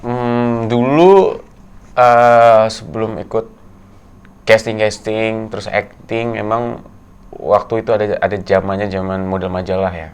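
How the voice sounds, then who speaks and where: A young man from Tegal, a guest in a casual interview, talking in a soft, halting way with many fillers. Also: on the low side, fairly even intonation, smooth. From Tegal, Central Java, Indonesia. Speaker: guest actor.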